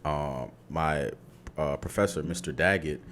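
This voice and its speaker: A man's voice: extremely low pitch, a little slow, a bit bright, slight background noise. A man chatting casually on a podcast, telling loose, profane stories. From Baltimore area. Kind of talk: casual chat.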